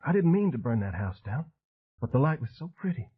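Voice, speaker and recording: A man voicing an unstable madwoman with a high, trembling voice, fragile and fervent. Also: very animated, a little fast, husky, phone-quality sound. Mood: excited, rambling.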